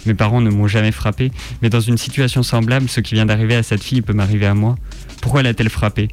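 Male narrator, low-pitched and very even, scripted first-person story reading with faint music underneath. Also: fast talker. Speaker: reader on a radio show.

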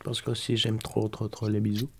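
A man's voice: fairly low pitch, completely flat delivery, fairly quick, a little hiss or hum. A man chatting playfully and casually in simple, short, spontaneous phrases.

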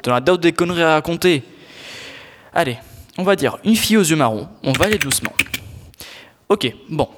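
A man's voice: a little high, animated, normal speed, pauses often, noisy background, lightly acted. A young man in his teens playing an exasperated, sardonic squire in a student audio drama, grumbling in a slangy way. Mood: scolding. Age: teenager.

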